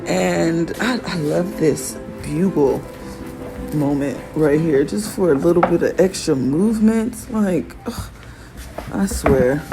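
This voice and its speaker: A raspy woman vlogging casually about her own work, admiring it out loud and laughing often. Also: sits low, slow pace, rough recording. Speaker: fashion designer vlogger. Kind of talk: casual show-and-tell vlog. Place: workshop in Arizona. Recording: music playing behind the voice.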